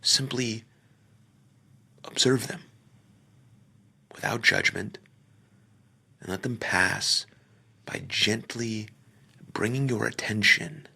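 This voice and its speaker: A man leading a guided meditation, speaking gently in short phrases with pauses and sometimes trailing off into a near-whisper. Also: on the low side, creaky, slightly rough. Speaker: podcaster. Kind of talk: guided meditation, soft slow instruction.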